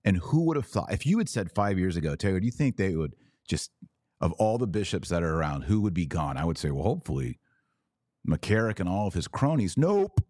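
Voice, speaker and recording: A man's voice: deep voice, sing-song, brisk, darkish tone, no room sound, studio quality. A man hosting a religious commentary podcast, speaking emphatically with rhetorical questions and repeated phrases for stress. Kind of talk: impassioned religious commentary to camera.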